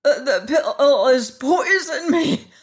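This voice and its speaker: A storyteller voicing a young boy who is easily upset and turns sulky, crying out high and loud. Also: slow. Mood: wailing in pain. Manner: wailing, high and loud in distress.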